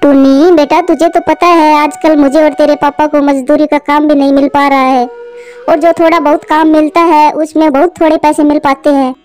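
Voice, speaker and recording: A woman's voice: high-pitched, steady tone, normal speed, darkish tone, soft background music, lightly acted. A woman voicing a caring, worried mother bird from a poor family in a cartoon.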